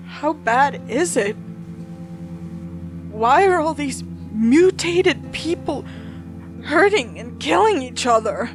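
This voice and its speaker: A woman's voice: sits high, sing-song, slow pace, long pauses, over music, exaggerated voice. A woman voicing a frightened, desperate newcomer to a hellish afterlife in a horror story, pleading and emotional. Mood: horrified. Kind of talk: horror story character acting.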